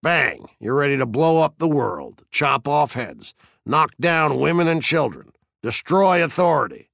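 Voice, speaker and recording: A man's voice: fairly high pitch, big pitch swings, unhurried, creaky, fairly bright tone, no room sound, clean studio sound, pitched above the performer's own voice, full character voice. A man reading an audiobook as a grandiloquent fire chief, needling and mock-jovial, with a sly, gleeful, declamatory delivery. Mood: needling, grandiose. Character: Beatty, taunting, grandiloquent male fire chief, slyly gleeful bully. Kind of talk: audiobook character acting.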